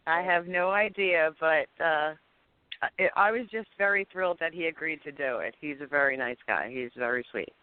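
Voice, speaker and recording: A woman co-hosting a casual talk show, warm and effusive with the callers. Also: low voice, normal speed, clear, resonant tone, no room sound. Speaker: co-host. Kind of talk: casual chat. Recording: dry, phone-like call audio.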